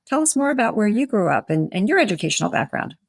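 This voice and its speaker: A woman's voice: mid-range voice, very animated, fast talker, creaky, dry recording, studio quality. A woman hosting a podcast, giving polished, articulate scripted introductions and interview questions. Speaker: podcast host.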